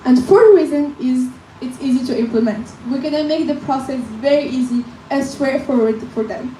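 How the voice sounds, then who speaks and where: High-voiced young woman pitching in an echoey room. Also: moderate pace, dark tone, recorded from a distance, a little hiss or hum. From Morocco. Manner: counts points off one by one, persuasive. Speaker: student presenter. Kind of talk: rehearsed business pitch.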